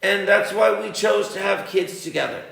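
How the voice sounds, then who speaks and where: Male, higher-pitched with a gravelly edge, confrontational and insistent, one side of a heated phone argument in an echoey room. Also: moderate pace, fairly bright tone. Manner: confrontational, insistent, repeating himself. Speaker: parent on a phone call.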